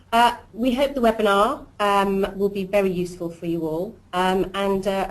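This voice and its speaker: A woman's voice: mid-range voice, low-quality recording. Chatty woman hosting a webinar. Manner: warm, chatty, many ums and uhs. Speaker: webinar host, programme director. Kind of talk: presenting a corporate webinar.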